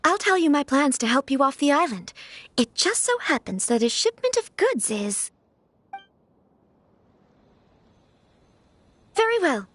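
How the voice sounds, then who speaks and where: A refined young noblewoman in an anime game. Her voice is high and girlish, with formal, measured phrasing. She comes across as polite and sincere. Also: big pitch swings, fairly bright tone, dry recording. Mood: confiding.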